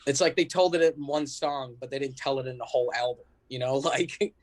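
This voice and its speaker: A man's voice: medium pitch, brisk. An American man from Chicago, a band musician chatting casually as a podcast guest, rambling along with plenty of "like" and "you know". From Chicago, United States.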